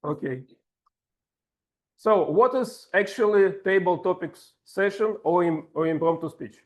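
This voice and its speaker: A man with a heavy Russian accent, a native Russian speaker, hosting a club session as table topics master in slow, halting English with playful asides. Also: high-pitched.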